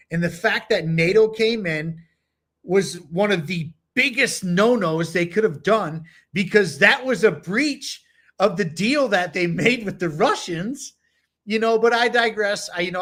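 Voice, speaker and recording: Rambling man with a higher voice. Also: a bit bright. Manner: rambling, many "you know" fillers. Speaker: podcast co-host. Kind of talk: casual podcast chat, reading aloud. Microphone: home computer mic, no camera.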